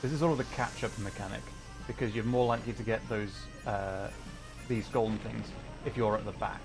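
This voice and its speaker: A man livestreaming a video game, chatting casually with viewers and explaining the play in an excitable way. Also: lowish voice, a little fast, creaky, poor audio. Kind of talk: casual live gaming commentary, chatting with viewers. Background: video game music.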